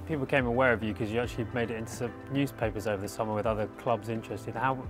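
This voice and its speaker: A man's voice: medium pitch, steady tone, racing. A man working as a club media interviewer, putting brief, friendly questions to young players. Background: background music.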